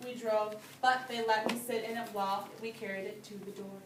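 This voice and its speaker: A woman's voice: mid-range voice, steady tone, a little fast. A woman teacher reading a storybook aloud to young children and talking it over, patiently and simply, repeating their answers back. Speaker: preschool or early grade teacher. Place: indoor room with young children. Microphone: phone or camera at a distance. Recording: roomy, distant phone video with noise.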